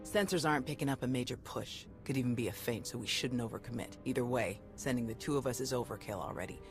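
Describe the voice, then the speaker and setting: A woman's voice: extremely low pitch, fast talker. A tough, brash warrior woman in a video game cutscene. She speaks bluntly in clipped phrases with a sardonic edge, over music. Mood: brisk, wry. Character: tough, brash female Jedi security chief, battle-hardened. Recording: music behind the voice.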